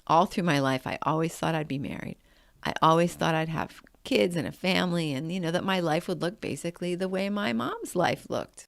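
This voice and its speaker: A woman in her late fifties hosts a motivational podcast. She talks in a chatty, conversational way. Her voice sits a little low with a gravelly edge, and it is recorded dry and close. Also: dry recording. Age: 50s. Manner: chatty and conversational, with frequent "like" and "I mean". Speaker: podcast host.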